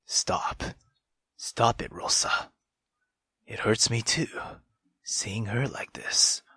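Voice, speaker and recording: A man voicing a cold, guarded young nobleman from a visual novel, in a breathy, halting, grave murmur. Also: mid-range voice, sharp tone, no echo, whispered, studio quality, pitched below the performer's own voice, heavily acted. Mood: pained, guarded.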